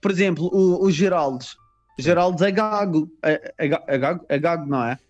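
A man's voice: a little high, normal speed, lo-fi sound, faint music behind. A man from Madeira with a Madeiran Portuguese accent, a comedian chatting casually in a teasing, joking, slangy way. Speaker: stand-up comedian. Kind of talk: casual bantering chat.

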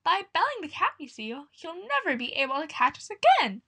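A woman voicing a small, excited boy mouse who talks in a high, squeaky, eager way. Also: a little fast, exaggerated voice.

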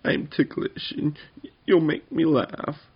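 A man's voice: on the high side, slow pace, breathy edge, highs cut off, voice pushed up, exaggerated voice. A man voicing a timid, childlike little fat male goblin in a whining, plaintive way for a storybook. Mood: whiny, tearful.

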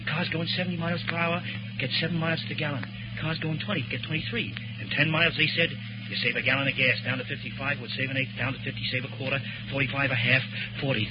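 A man's voice: fairly high pitch, close to an everyday voice. An actor playing a lonely, restless truck driver in his fifties, talking to himself in terse fragments in a 1940s radio drama. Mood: subdued, reckoning. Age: 50s. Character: lonely, restless 57-year-old night truck driver, worried father. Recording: muffled 1940s radio recording with music.

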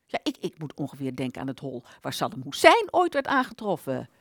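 A woman's voice: sits low, wildly swooping pitch, a bit bright, no room sound, clean studio sound, full character voice. Woman voicing a theatrical, wounded abandoned idea. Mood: grave. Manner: theatrical, dramatic storytelling with slow emphases. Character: bitter aborted idea, a vanished thought under a sheet. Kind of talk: Dutch radio play monologue.

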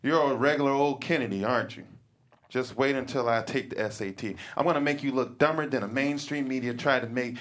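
A man's voice: mid-range voice, fairly quick, a bit bright, natural voice. A man playing a flustered nerdy teenage boy. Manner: rambling, flustered. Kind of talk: table read.

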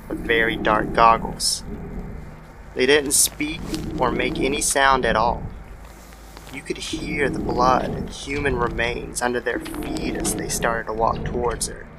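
A man's voice: mid-range voice, very noisy. A man narrating a scripted horror story in the style of old-time radio. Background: background music.

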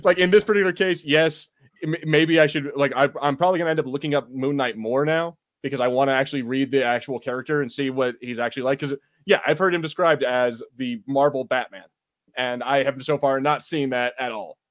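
Man, mid-pitched voice, podcast co-host, casual banter, rambling delivery, profane. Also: fairly even intonation, fairly quick.

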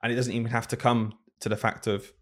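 A man's voice: medium pitch, little pitch movement, fast. A man, a primary school teacher co-hosting a casual podcast, talking earnestly and thinking aloud.

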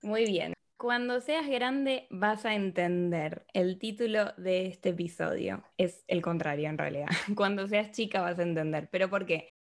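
A woman, hosting a podcast and talking in a reflective, thoughtful way. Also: medium pitch. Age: 30s. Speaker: podcast host. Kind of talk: casual interview chat.

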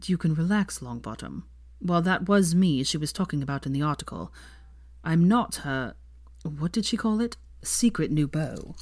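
Woman doing a dry, low-pitched young aristocratic man. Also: animated, moderate pace, a little mellow, no echo. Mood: weary, wry. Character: young male teacher, dry, scoffing, teasing aristocrat.

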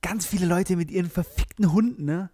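A man's voice: high-pitched, fairly quick, warm tone, no echo. A young man co-hosting a casual podcast chat in German, blunt and profane, prone to ranting. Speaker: podcast co-host.

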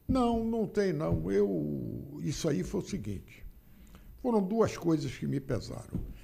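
A man's voice: on the high side, very animated, unhurried, gravelly, mellow, slightly rough. A man in his seventies, a politician guest in a studio podcast interview, telling anecdotes and acting out the dialogue in them, often repeating his phrases. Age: 70s. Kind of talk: casual interview storytelling.

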